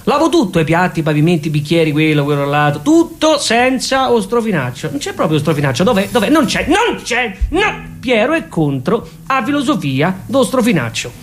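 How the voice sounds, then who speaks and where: Casting: male actor as obsessive Roman housewife with a murderous streak, high-pitched, Roman accent, repetitive stage-comedy delivery. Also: very animated, moderate pace, few pauses. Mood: fretful, searching, then aggrieved, complaining. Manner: repetitive, fixated, swinging in emphasis. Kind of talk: theatrical comic monologue.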